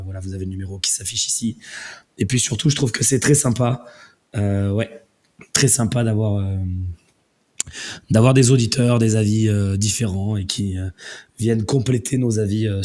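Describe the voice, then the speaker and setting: A man hosting a casual call-in show, talking in a relaxed, rambling way with many fillers while losing his voice to a cold. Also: fairly low pitch. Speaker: host.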